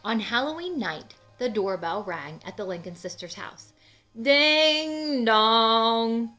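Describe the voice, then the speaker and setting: A woman reads a children's storybook aloud in a bright, clear-cut voice. She is expressive and speaks directly to young listeners. Soft music plays underneath. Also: mid-range voice, moderate pace. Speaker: children's book read-aloud narrator.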